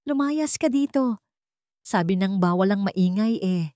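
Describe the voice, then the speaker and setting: A woman's voice: medium pitch, expressive, unhurried, dark tone, no echo, clean studio sound, close to an everyday voice. A woman voicing a mean, scolding old landlady in a radio drama. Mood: scolding, hostile.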